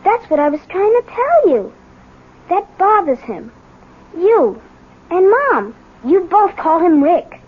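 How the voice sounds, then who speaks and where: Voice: extremely high pitch, very animated, slow, exaggerated voice. A young performer playing an earnest, loyal American schoolboy, articulate and eager, in a 1970s radio drama. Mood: gently pleading. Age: child or young teen. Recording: muffled 1970s radio recording.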